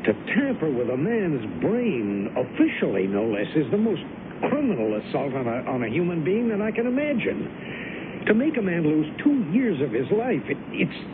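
An actor playing a principled, easily indignant author in a 1970s radio drama, speaking with emphatic incredulity. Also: male voice, on the high side, big pitch swings, moderate pace. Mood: shocked, indignant. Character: indignant, principled male author questioning a scientist. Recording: muffled, noisy 1970s radio broadcast.

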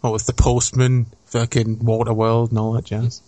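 A young man riffing bluntly on a podcast, dropping swear words and jokes. His pitch is ordinary and mid-range. The sound is dry and close, with no echo. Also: little pitch movement, normal speed, dark tone. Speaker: podcast co-host. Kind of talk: casual chat.

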